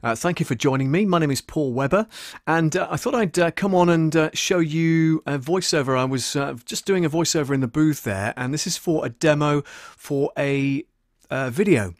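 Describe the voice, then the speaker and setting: A man's voice: on the high side, normal speed, dry recording, clean studio sound. A middle-aged British man hosting a casual screen-share tutorial, hesitant as he thinks aloud while working. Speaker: voice-over artist and audio producer hosting a livestream.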